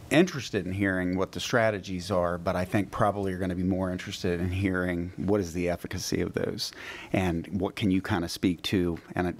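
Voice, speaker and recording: Deep-voiced man on a council desk mic. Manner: long-winded, courteous questioning. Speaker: town council member. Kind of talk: public meeting questioning. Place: town council chamber. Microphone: desk microphone.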